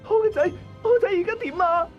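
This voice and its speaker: 一個女人喺粵語廣播劇入面，演繹一個為個仔焦急到發狂嘅母親，聲音悶悶哋。. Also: female voice, extremely high pitch, fast. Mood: frantic. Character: frantic mother worried about her son. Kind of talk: Cantonese radio drama. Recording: muffled, dull voice under music.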